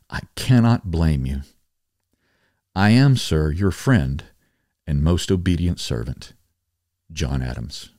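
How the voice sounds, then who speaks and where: Low-pitched man reading formally with lively intonation. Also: no echo, clean studio sound. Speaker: reader and host. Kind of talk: reading historical letters aloud.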